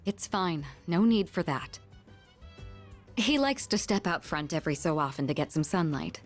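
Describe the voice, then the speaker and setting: A woman's voice: on the low side, normal speed, faint music behind, lightly acted. An actress playing a composed, responsible young woman in a video game, poised, formal and firm. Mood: calm, firm. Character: composed, responsible, mature teenage girl leader.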